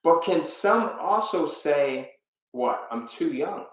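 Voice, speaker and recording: A man's voice: fairly high pitch, lively intonation, slow pace, a bit bright. A man teaching a live online business webinar, speaking with energy and emphasis and asking his viewers frequent rhetorical questions. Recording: very echoey room.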